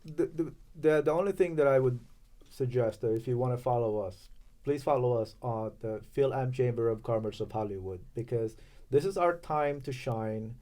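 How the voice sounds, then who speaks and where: A man's voice: mid-range voice, moderate pace, dark tone. A man from Los Angeles, a guest on a podcast, chatting casually and laughing often in an encouraging way.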